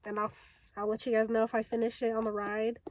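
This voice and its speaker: A woman's voice: a little high, level tone, fast, darkish tone. A young woman chatting casually and enthusiastically to camera in a reading vlog. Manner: gushing, enthusiastic. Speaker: book vlogger. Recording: clear ambient background noise.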